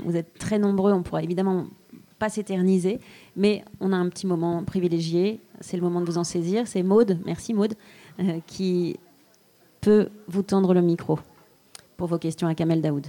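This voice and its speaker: Low-voiced woman moderator. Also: fairly even intonation, moderate pace, mellow. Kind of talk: moderating a public talk. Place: outdoor town square event.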